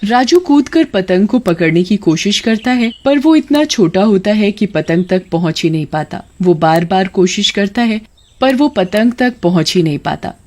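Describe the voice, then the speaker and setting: A woman's voice: mid-range voice, lively intonation, moderate pace, a little mellow. A woman narrating a scripted moral tale as a storyteller.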